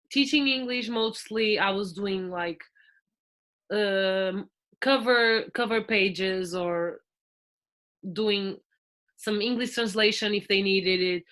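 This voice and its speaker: A young Portuguese woman in her twenties talks as a podcast guest, her accent plain and her voice bright and forward. She chats loosely, leaning on fillers and restarting her sentences. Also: medium pitch. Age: 20s. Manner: chatty, with frequent fillers and restarts. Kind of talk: casual podcast interview.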